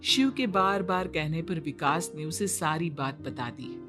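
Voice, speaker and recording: A woman's voice: deep voice, big pitch swings, measured pace, music in the background. A woman reading scripted narration for a moral cartoon story as its narrator.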